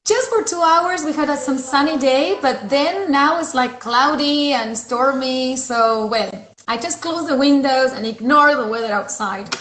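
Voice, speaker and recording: A woman's voice: high voice, unhurried, few pauses, fairly bright tone. A woman in her forties from Argentina with an Argentine accent, an English teacher chatting at home over a video call with lots of fillers. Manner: chatty, many fillers, laughs easily. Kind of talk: casual video call chat. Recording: roomy video call audio.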